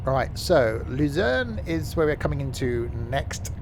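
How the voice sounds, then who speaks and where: A man's voice: fairly high pitch, sing-song, measured pace, background noise. A man, chatting casually and rambling with fillers while live-streaming a game.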